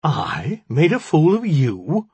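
A man's voice: a little high, huge pitch swings, takes it slowly, warm tone, breathy edge, no echo, pitched above the performer's own voice, full character voice. A man voicing a sardonic, defiant jinni in the form of a lioness, with sarcastic, colloquial dialogue in an audiobook. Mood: mocking. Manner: sarcastic, colloquial, self-justifying.

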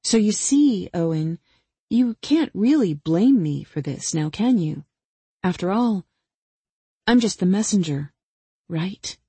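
A woman's voice: medium pitch, expressive, slow pace, pauses often, dark tone, breathy edge, dry recording, full character voice. A woman voicing a vengeful young female killer in an audiobook, taunting and mock-patient as she puts pointed questions. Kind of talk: dramatic audiobook character dialogue.

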